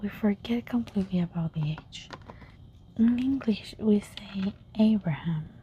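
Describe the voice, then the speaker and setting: Softly whispering woman teacher. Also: medium pitch, dull audio, slight background noise. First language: Spanish. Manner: soft whisper, repeats each word slowly. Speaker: language teacher. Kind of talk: whispered ASMR language lesson.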